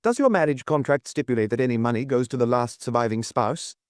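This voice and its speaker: A man's voice: mid-range voice, animated, quick pace, dry recording, studio quality, close to an everyday voice. A man voicing a solemn examining magistrate in an audiobook, putting short, pointed, formal questions.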